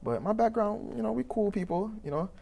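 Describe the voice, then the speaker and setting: A man's voice: sits high, lively intonation, fairly quick, gravelly, warm tone. A young man from Trinidad with a Trinidadian accent, answering interview questions in an earnest, rambling way full of fillers and restarts. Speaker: youth program founder.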